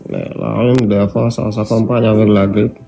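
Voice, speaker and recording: A man's voice: on the low side, very animated, normal speed, vocal fry, warm tone, faint music behind. A man joining a casual live stream chat, easygoing and giving friendly advice.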